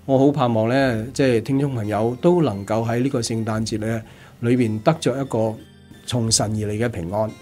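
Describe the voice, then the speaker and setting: A man's voice: mid-range voice, fairly even intonation, normal speed, dark tone. Cantonese-speaking male radio host over music. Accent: Hong Kong Cantonese. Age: middle-aged. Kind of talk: radio interview hosting. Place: small padded radio studio. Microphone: studio desk microphone.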